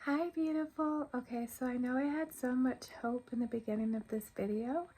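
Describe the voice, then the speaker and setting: A woman's voice: sits high, moderate pace, mellow, slightly rough, poor audio. An American woman chatting casually to camera as a craft vlogger, informal and thinking aloud as she goes.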